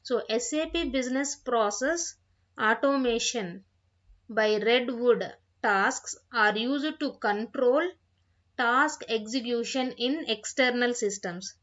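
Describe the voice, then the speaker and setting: A woman giving an instructional software tutorial, reading out points and repeating phrases as she explains them, on a dull, lo-fi microphone. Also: a little high, takes it slowly. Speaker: software trainer.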